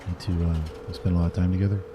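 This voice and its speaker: An actor playing a jaded, wary veteran cop in a crime audio drama, with a dry, sardonic, gruff delivery. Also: male voice, low-pitched, level tone, very fast, noisy background, close to an everyday voice.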